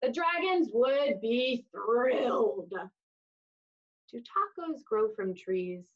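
A woman in her thirties reading a picture book aloud to young children, expressively, with exaggerated emphasis and drawn-out words. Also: fairly high pitch, lively intonation, muffled recording. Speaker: children's storytime reader. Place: small room indoors, seated on floor.

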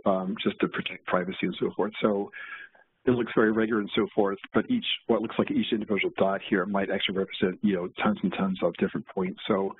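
A man walking through a software demo at a meetup, talking in a halting way with many fillers and restarts. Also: fairly low pitch, husky, no room sound. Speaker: presenter. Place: meetup room. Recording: tinny, lo-fi meetup recording.

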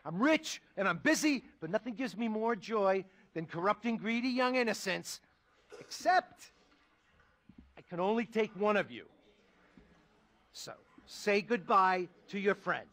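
A man's voice: high-pitched, lively intonation, a little slow, fairly bright tone. A man playing a rich, ruthless, cynical finance mentor in a satirical video game movie, pompous and contemptuous. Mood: brusque, contemptuous. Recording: music under the dialogue.

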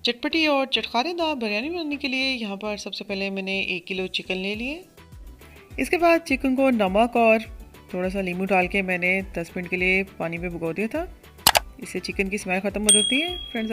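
A woman hosting a cooking channel, narrating a recipe step by step in a steady instructional voice. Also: medium pitch, a little fast, faint music behind. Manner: steady step-by-step instruction, addresses viewers as friends. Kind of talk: recipe voice-over.

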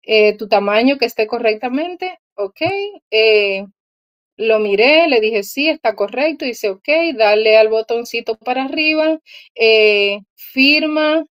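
A woman's voice: a little high, slow pace, smooth, dull audio. A woman in her thirties from Cuba, a guest on a video call who tells her story cheerfully, laughing often and trailing off with fillers. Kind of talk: casual chat, telling her experience. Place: small indoor room. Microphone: video call, laptop or phone camera.

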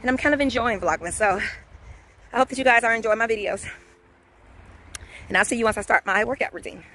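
A woman's voice: on the high side, brisk, pushed and bright, a little hiss or hum. A middle-aged woman chatting casually to her viewers, laughing often, filmed with a handheld phone in a car and out in a park. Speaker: vlogger. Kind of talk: casual vlog chat. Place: in a parked car and walking outdoors in a park. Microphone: phone camera held at arm's length.

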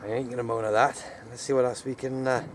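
A man's voice: mid-range voice, level tone, quick pace. A man, chatting casually as an angler outdoors, recorded on a camera. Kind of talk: casual chat. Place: grassy bank of a fishing lake, outdoors. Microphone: camera a few steps away. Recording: distant outdoor camera audio, faint noise.

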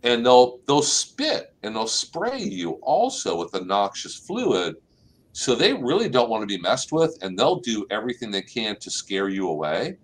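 A middle-aged man hosting a live online plant clinic from an office over a video call, explaining calmly and saying y'all. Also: mid-range voice, unhurried. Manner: relaxed, explanatory, uses y'all. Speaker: extension agent and plant clinic host. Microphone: webcam on a video call.

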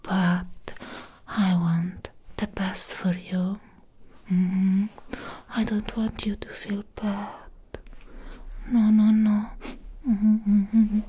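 Girl doing a obsessive vampire ex gf, asmr whisper, super slow n cooing, right up on the mic, faint noise. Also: medium pitch, level tone, mellow. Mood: soothing, adoring. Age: young adult. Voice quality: breathy whisper. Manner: slow, lingering, intimate, cooing. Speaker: ASMR roleplay performer. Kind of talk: soft-spoken whispered ASMR roleplay. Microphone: close mic, intimate.

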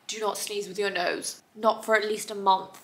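A young woman vlogging her recovery to a close laptop camera, chatty and candid, with a badly congested, stuffy nose. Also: medium pitch. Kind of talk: casual recovery vlog to camera. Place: bedroom, indoors.

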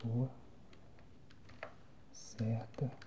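A young Brazilian man giving casual, slangy ASMR gameplay commentary in a soft, breathy whisper. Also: medium pitch, little pitch movement, extremely slow, slight background noise. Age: young adult. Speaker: gamer, ASMR channel host. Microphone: phone microphone, close.

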